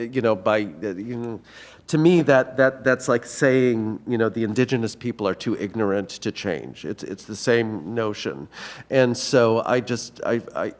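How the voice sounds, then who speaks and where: Male panelist with a lowish voice, earnest, stumbling over restarts. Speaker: conference panelist. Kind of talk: answering audience questions.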